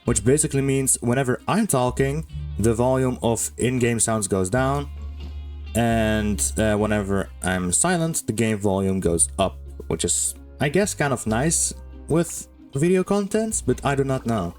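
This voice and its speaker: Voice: mid-range voice, lively intonation, measured pace, a little mellow, faint music behind. A game streamer giving casual let's play commentary in a hesitant, rambling way.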